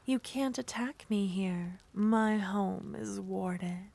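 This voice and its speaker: A woman voicing a seductive, possessive witch, speaking softly in half whispers with a teasing manner in an ASMR roleplay. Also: medium pitch, slow pace, vocal fry.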